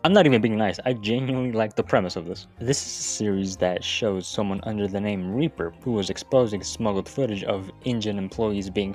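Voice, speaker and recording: A man's voice: lowish voice, a little mellow. Casual, wry man narrating over music. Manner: informal, profane, wry asides. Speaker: YouTube video essayist. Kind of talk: casual scripted video-essay narration. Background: background music.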